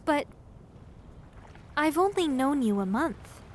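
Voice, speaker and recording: Woman playing a high-voiced, love-struck naive girl. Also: sing-song, takes it slowly, exaggerated voice. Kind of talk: comedy horror audio drama acting.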